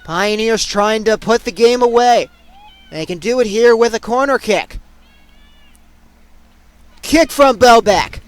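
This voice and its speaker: Young adult male sportscaster, very high-pitched, excitable, clipped delivery for live game commentary. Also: takes it slowly, pauses often, smooth, dry recording. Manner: excitable, punchy delivery in short clipped phrases. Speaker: play-by-play sportscaster. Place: at sports venues, live during games.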